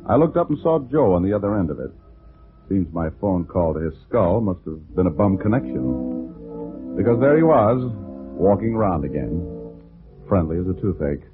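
Sardonic 1940s radio detective, lowish voice, tinny old recording w music. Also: male voice, expressive, normal speed, long pauses. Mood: wry narration. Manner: wry, slangy, sardonic. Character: wisecracking tough male private detective. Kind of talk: 1940s radio detective drama, acting and first-person narration.

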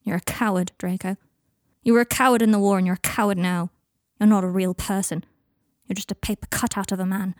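A woman's voice: mid-range voice, fairly even intonation, fairly quick, no echo, clean studio sound, natural voice. A woman voicing a hurt, exasperated young man in an audiobook story, pleading and accusing with emphasis. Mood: bitter, accusing.

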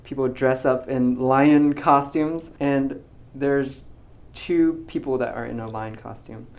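A young man in his twenties, a graduating physics student, talking in a casual interview, soft-spoken and hesitant with many ums and restarts. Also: medium pitch, steady tone, slow pace, vocal fry. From United States. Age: 20s.

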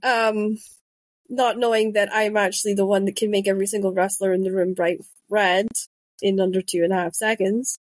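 A woman's voice: mid-range voice, fairly even intonation, normal speed, no room sound. A woman in her thirties, a podcast host and author, chatting casually and telling stories with quoted asides. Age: 30s. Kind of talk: casual conversation.

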